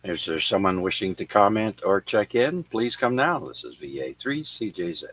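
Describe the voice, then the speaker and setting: A man hosting an amateur radio net, reading notes on who was born and who died on this day, with many filler pauses. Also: fairly low pitch, big pitch swings, a bit bright, a little hiss or hum. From Canada. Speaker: radio net control host. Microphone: radio transceiver microphone.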